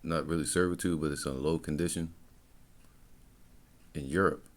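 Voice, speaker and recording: An American man giving informal religious teaching and commentary as he reads, in halting short phrases. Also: a bass voice, fairly even intonation. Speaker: religious camp teacher and presenter.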